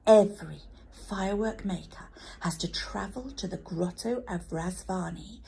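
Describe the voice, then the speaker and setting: Женщина играет сурового ворчливого мастера, скрипучий голос. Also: female voice, mid-range voice, unhurried, creaky, dark tone, rough recording. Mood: grand, scolding. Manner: grand, scolding, emphatic. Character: stern, irritable father, master firework maker.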